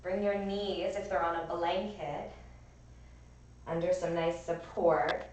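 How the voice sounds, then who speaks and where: A woman with a raspy, strained voice gently cueing breath and movement as a yoga and pilates instructor. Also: on the low side, steady tone, takes it slowly. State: strained voice from overuse. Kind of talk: guided class instruction. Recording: echoey room, voice from far off.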